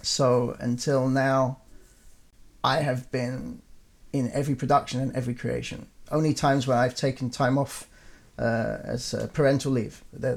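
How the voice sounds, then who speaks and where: Gravelly-voiced man in his forties. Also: medium pitch. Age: 40s. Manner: relaxed, frequent fillers and restarts. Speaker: interviewee, performer. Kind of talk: reflective conversational interview.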